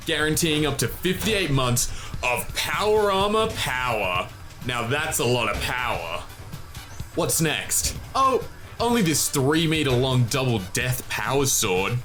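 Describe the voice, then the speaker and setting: A man playing a smug, boastful power-armour owner in a comedy sketch, with a bombastic sales-pitch delivery. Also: lightly acted. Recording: music behind the voice.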